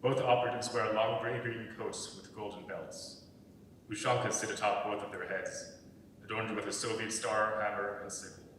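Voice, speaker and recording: Low-voiced male narrator, big echoey hall. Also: level tone, normal speed, fairly bright tone. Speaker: narrator reading stage directions. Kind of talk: scripted narration. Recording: echoey hall, distant mic, faint rumble.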